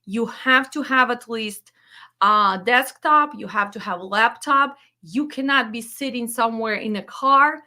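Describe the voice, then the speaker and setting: Ukrainian accent woman, trucking trainer, talks slow and blunt, bright kinda high voice. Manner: direct, firm and blunt. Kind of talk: promotional webinar announcement.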